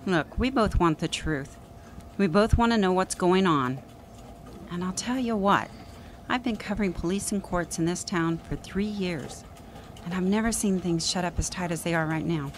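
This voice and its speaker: A woman's voice: lowish voice, moderate pace, a little hiss or hum, natural voice. A woman playing a wry, pushy small-town newspaper reporter in a radio drama, sardonic and nonchalant. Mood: persuasive.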